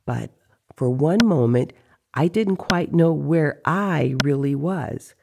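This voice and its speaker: A narrator voicing an excitable male sorcerer who recounts a strange dream in a dramatic, earthy way. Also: mid-range voice, takes it slowly, vocal fry, background noise. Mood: wondering. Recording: faint music behind.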